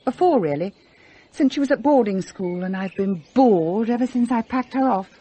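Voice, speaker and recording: A woman's voice: a little high, lively intonation, moderate pace, dark tone, slightly rough. An actress playing a bored, rebellious upper-class married mother in a radio play, with an English accent and an articulate, self-mocking, theatrical manner. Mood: arch, intense. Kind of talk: British radio play acting.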